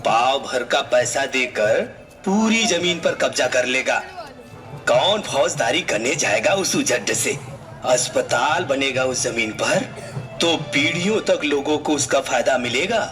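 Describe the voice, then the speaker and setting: A man playing a wise old village patriarch in a puppet show, measured and advising. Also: medium pitch, expressive, over music, lightly acted. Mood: concerned.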